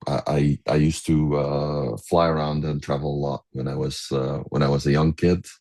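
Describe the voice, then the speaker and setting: A middle-aged man with an exceptionally deep voice. He talks casually as a podcast guest, halting often as he searches for words. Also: level tone, vocal fry, mellow. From Egypt. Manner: hesitant, halting delivery with many pauses. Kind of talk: casual reminiscing conversation.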